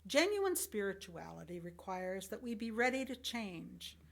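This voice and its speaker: A woman reading a sermon from a script as a lay church speaker, in earnest, deliberate phrases. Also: mid-range voice, big pitch swings, gravelly. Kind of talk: sermon, read from a script with short personal commentary.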